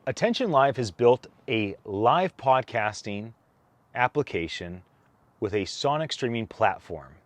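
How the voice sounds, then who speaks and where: A man reading a technical document aloud, phrasing deliberately and stressing each item as he goes. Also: mid-range voice, expressive, no echo. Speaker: co-author reading a whitepaper aloud. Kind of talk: read-aloud document narration.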